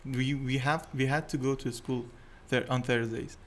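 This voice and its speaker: A man's voice: medium pitch, steady tone, moderate pace. Young guy w/ iranian accent, native persian, nervous n searching for words, bit of background noise. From Tehran, Iran. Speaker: interview guest. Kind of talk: casual interview answers. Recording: noisy background, poor sound quality.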